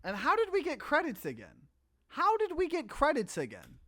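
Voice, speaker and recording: A man's voice: very high-pitched, wildly swooping pitch, moderate pace, sharp tone, dry recording. A man hosting a video game playthrough, chatting casually as he comments on the game. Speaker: let's play host. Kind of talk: casual gaming commentary and reading game text aloud.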